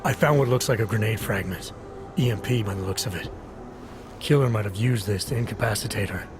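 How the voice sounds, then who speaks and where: A man plays a terse game investigator who sets things out methodically. His voice sits low and moves briskly, clipped and matter-of-fact. Music is clearly audible behind him. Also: close to an everyday voice. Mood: analytical. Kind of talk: video game cutscene dialogue.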